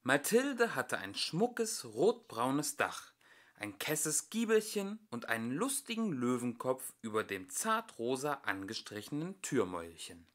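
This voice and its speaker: A young man reads a storybook aloud for children. His pitch moves widely as he tells the tale theatrically, in a mid-range voice, speaking straight to the listener. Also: sing-song, a little slow. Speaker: amateur audiobook reader. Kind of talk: expressive children's story narration.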